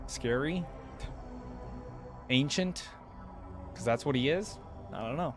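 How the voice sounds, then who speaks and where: A man's voice: medium pitch, takes it slowly. A man in his twenties giving casual live reaction commentary, thinking aloud in an informal way into a close microphone. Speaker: reaction video host. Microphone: close desktop microphone, headset worn. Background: game theme music.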